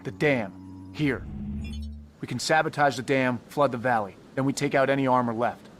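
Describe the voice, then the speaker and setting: An actor playing a confident special forces commander who gives clipped, matter-of-fact orders in a video game cutscene. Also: male voice, medium pitch, normal speed, fairly bright tone, soft background music, close to an everyday voice. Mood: decisive. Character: decisive male special forces squad commander, terse, confident. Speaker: military squad commander. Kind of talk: video game cutscene acting, mission briefing.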